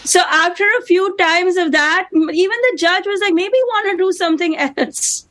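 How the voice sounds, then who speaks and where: High-voiced Indian woman, punchy deadpan humor. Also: moderate pace. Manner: punchy, self-deprecating deadpan jokes. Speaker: comedian guest. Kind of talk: comedic interview over video call. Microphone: video call.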